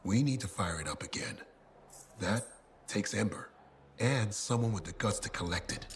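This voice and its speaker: A bragging gear dealer, low-ish voice, sells hard, faint hiss behind. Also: male voice, animated, moderate pace. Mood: salesy. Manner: colorful salesman patter. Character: boastful male weapon decal merchant, showman. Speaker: craftsman merchant. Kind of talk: video game dialogue.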